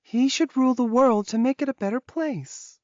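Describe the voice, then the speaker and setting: A woman's voice: sits high, normal speed, a little mellow, no echo, muffled recording, natural voice. A woman voicing a loyal, resigned witch guarding a captive in an audiobook, matter-of-fact as she explains.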